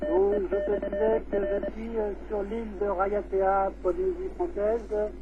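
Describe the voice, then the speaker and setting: High-pitched man over tinny radio. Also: level tone. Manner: procedural radio phrasing with hesitant pauses. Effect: radio transmission. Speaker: scout leader and radio operator. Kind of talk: amateur radio conversation. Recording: tinny, noisy amateur radio link.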